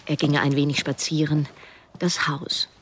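A woman's voice: low voice, level tone, measured pace, slight background noise. An actress playing a young woman who narrates a radio drama, probing and direct with a wry edge. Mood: reflective narration. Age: young adult. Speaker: first-person narrator.

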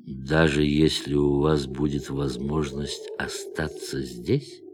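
An actor playing a calm, defiant astronaut in a radio play, speaking in a measured, quietly intense way. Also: male voice, very deep voice, over music. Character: calm defiant astronaut who turned on his crew.

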